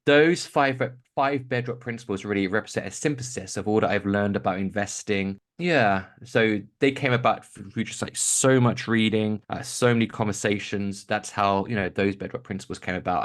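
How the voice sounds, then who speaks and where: Thoughtful low-voiced man from Kent. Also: steady tone, a little fast, hardly any pauses. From England, Kent. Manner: thoughtful, rambling, many restarts and fillers. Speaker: guest, investing author and podcaster. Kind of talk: conversational podcast interview answer.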